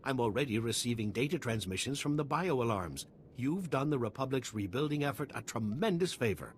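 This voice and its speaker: A man's voice: mid-range voice, expressive, a little fast, slight background noise, lightly acted. A man voicing a grateful, formal scientist in a video game, with effusive, elaborately polite phrasing. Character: Republic doctor, a grateful, formal male scientist.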